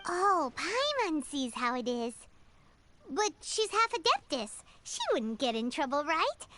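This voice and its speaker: A woman's voice: sits high, big pitch swings, unhurried, noisy background. A woman voicing a tiny, chirpy floating female companion in a game who talks about herself in the third person. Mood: musing. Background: game music.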